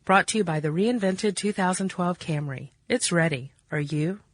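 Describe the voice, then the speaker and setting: A woman's voice: fairly low pitch, moderate pace, soft background music. A woman announcer reading a scripted sponsor tag and show introduction.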